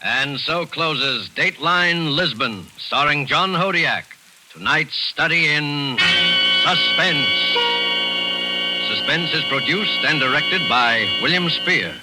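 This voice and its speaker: A male announcer closing a 1940s radio drama in a slow, declamatory style. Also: fairly high pitch, animated, rough recording. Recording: old radio broadcast with loud music.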